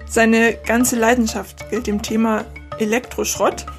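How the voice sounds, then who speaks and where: A woman's voice: fairly high pitch, level tone, measured pace, soft background music. A woman who is a native German speaker, speaking as a podcast host. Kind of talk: scripted podcast introduction, then casual interview.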